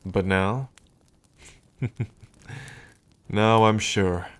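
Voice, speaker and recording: A man playing a smitten, teasing dragon king in an intimate ASMR roleplay, with unhurried, murmuring pauses. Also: lowish voice, clear, resonant tone. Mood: tender, teasing. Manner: unhurried, murmuring pauses, hums and soft chuckles.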